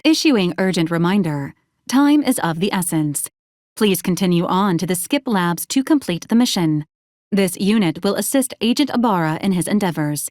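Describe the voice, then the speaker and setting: A woman voicing a polite, literal female robot assistant in a video game, speaking in formal, clipped, deadpan announcements. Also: medium pitch, no room sound, studio quality, close to an everyday voice. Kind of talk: scripted video game character lines.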